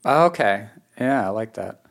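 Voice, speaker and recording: A man's voice: fairly low pitch, expressive, measured pace, creaky. A man with a New England accent, hosting a casual conversational podcast interview. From New England, United States. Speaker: podcast host.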